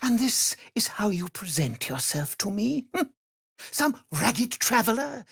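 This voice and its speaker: A cruel, aristocratic vampire master as a game villain, in a very dry, close recording. He speaks slowly, savoring his contempt, and his pitch swoops widely from menace to imperious command. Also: sing-song, gravelly, husky, dry recording, heavily acted. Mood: disdainful. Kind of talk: dramatic video game villain acting.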